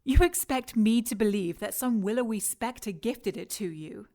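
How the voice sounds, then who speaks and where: A scornful villainess acted by a woman for an audio drama. She speaks at a middling pitch, scheming and condescending, with mockery in her phrasing. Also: normal speed, a little mellow, lightly acted. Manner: mocking, condescending.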